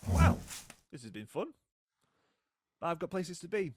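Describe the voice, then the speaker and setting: An actor in an audio drama playing a taunting, cold, vengeful British brother who speaks in a mocking, unhurried way. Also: male voice, on the high side, big pitch swings, breathy edge, full character voice. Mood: gloating, menacing.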